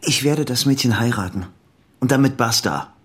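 A man's voice: medium pitch, close to an everyday voice. An actor playing David, a proud, obsessive and touchy man, defiant and brittle in a radio drama. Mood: angry, defiant. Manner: defiant, brittle, quick to flare up.

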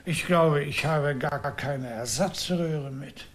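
A man's voice: on the high side, takes it slowly, fairly bright tone. A man in a radio play, playing a fussy, over-polite travelling salesman who stammers and gets flustered. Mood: flustered, helpless. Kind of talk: German radio play acting.

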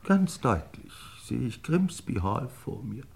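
Gravelly, husky, muddled English lord. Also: male voice, mid-range voice, big pitch swings, unhurried, heavily acted. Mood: feverishly excited. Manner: faltering, stammering, theatrical. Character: muddled, woozy English lord, melodramatic when cornered. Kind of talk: German radio crime play acting.

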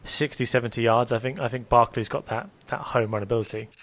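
A man from Wales co-hosts a sports chat at an ordinary mid pitch. He is eager to argue his point and stammers through quick restarts. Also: fairly bright tone. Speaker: editor, podcast co-host. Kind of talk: casual podcast chat.

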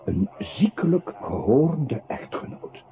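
An actor playing a scornful narrator in a radio drama, sardonic and theatrical, with a very raspy voice. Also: male voice, on the high side, sing-song, takes it slowly, exaggerated voice. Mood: mocking. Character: mocking, scornful male narrator belittling a pitiful man. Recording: muffled phone-like old radio recording.

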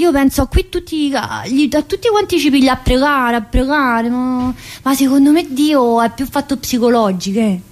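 A woman playing a chatty, warm-hearted, middle-aged female prison inmate in a theatrical monologue, meandering and full of little "eh"s. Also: on the high side, exaggerated voice, rough recording. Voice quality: chatty and meandering, studded with "eh" and direct address. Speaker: stage actor in a solo play.

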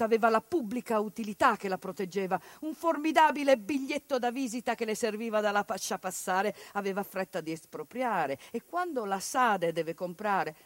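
A woman's voice: a little high, no room sound. Impassioned woman performing a theatrical monologue. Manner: impassioned, sharp swings between hushed and forceful delivery. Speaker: actress performing a one-woman play.

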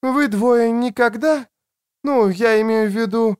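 A man voicing an elegant mature woman doctor in an audiobook, tender, frank and gently teasing, with soft expressiveness. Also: very high voice, measured pace, clean tone, no echo, clean studio sound, voice pushed up. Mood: insistent, caring. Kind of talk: audiobook character dialogue.

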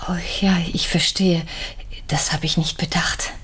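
An actress playing a reserved, polite, middle-aged widow in a radio crime drama, speaking in a restrained, terse way. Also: female voice, fairly low pitch, steady tone, measured pace, a bit bright, slightly rough, whispered, exaggerated voice. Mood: quiet, probing. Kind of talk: German radio drama acting.

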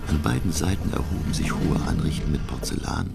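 An actor playing a learned, courteous shipwrecked professor who narrates a radio play in a grave, half-whispered, gravelly voice. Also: male voice, extremely low pitch, fairly quick, full character voice. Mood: reflective. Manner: grave, formal, reflective.

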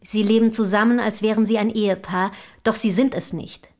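A woman's voice: medium pitch, steady tone, fairly quick, a little mellow, lightly acted. An actress playing an eager, self-assured young noblewoman writer of the early 1800s in a radio play, with formal, inquisitive diction. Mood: inquisitive, polite. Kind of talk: German radio play acting.

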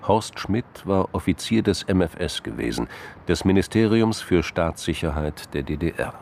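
A man narrating a scripted crime radio drama in measured, dramatic literary phrases with pauses. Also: low voice, animated, creaky, darkish tone, a little hiss or hum.

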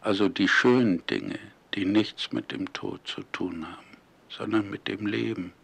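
A man conducting a reflective documentary radio interview in deliberate, measured, earnest phrasing, on a 1970s recording. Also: on the low side, gravelly, fairly bright tone, slightly rough.

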